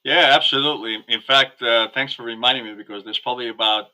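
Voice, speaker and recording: A man's voice: medium pitch, fairly even intonation, fast, bright, forceful tone, poor audio. A man originally from Hungary, a podcast guest talking casually with many fillers over a remote call. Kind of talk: casual interview talk.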